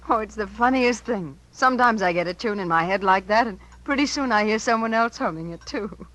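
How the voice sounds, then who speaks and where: Woman acting young adoring niece, earnest, insistent, mid-pitched, 1950s radio drama, vintage broadcast sound. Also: brisk, fairly bright tone, natural voice, low-quality recording. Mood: playful. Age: young adult.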